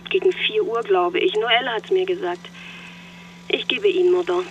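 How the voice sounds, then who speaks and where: A man's voice: sits high, moderate pace, fairly bright tone. An actor playing a tactful, concerned male police colleague speaking on the phone in a radio drama. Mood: careful, concerned. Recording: dull telephone line, faint noise.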